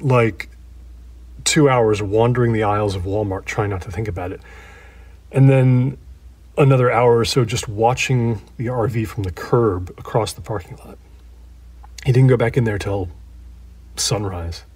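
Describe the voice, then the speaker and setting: An actor playing an ordinary man recounting a friend's haunting in a naturalistic documentary interview, halting and conversational, in short phrases with pauses. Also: fairly low pitch, a little mellow, husky, no echo. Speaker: interview subject in an audio drama.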